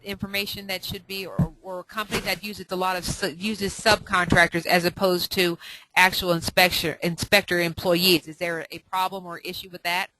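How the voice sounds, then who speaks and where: A woman hosting a professional web conference call, putting questions in a somewhat halting way. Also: lowish voice, flat delivery, sharp tone, poor audio. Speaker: host, inspection mentor.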